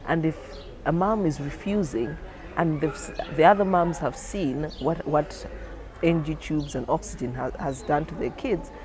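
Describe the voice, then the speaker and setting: A woman's voice: low-pitched, normal speed, crowd noise. Woman clinician explaining, people chattering behind. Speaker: clinician giving training. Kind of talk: explanatory interview for a training film.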